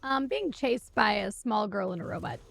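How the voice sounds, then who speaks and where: A young woman chatting casually on a game stream, wry, animated and sweary, close on the mic. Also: mid-range voice, normal speed, creaky. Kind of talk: casual live stream chat while gaming.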